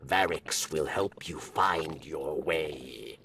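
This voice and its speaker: A man voicing a wily, pleading alien schemer in a video game, with a croaking voice and a halting, theatrical delivery. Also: a bass voice, sharp tone, distant mic, slight background noise, heavily acted. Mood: grave, warning. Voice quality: gravelly, croaking. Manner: halting, theatrical, refers to himself by name. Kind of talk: dramatic video game cutscene acting.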